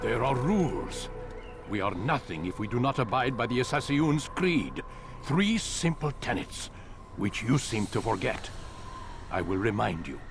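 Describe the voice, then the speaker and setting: An actor playing a stern, imperious old master of assassins in a video game, speaking formally and with command. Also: male voice, mid-range voice, big pitch swings, measured pace, over music. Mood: stern, scolding. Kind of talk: dramatic video game acting.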